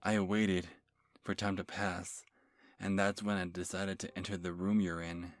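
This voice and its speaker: A man playing an obsessive stalker who tells his horror story in ASMR style, halting and repeating words. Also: low-pitched, flat delivery. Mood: uneasy, obsessive. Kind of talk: ASMR horror story narration in first person.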